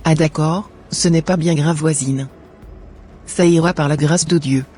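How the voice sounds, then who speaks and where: A man voicing a kind, trusting, pious mother in an animated moral drama, with polite scripted phrasing. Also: fairly high pitch, a little slow, lightly acted. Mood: polite, friendly. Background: faint music.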